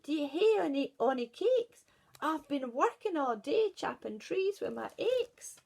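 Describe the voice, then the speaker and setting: A woman's voice: sits high, big pitch swings, unhurried, gravelly, a little mellow, voice pushed up, exaggerated voice. Woman playing a hearty Scottish forester.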